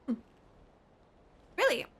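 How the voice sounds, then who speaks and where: A woman's voice: slow pace, a bit bright. A woman streamer giving a casual playthrough reaction, giggly and echoing bits of the dialogue. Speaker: gaming streamer. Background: game music.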